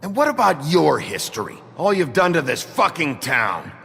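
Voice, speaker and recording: An actor playing a gruff, blunt town sheriff in a video game, terse and confrontational. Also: male voice, fairly high pitch, big pitch swings, moderate pace, sharp tone, heavily acted. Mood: angry, defiant. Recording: echoey hall, music behind.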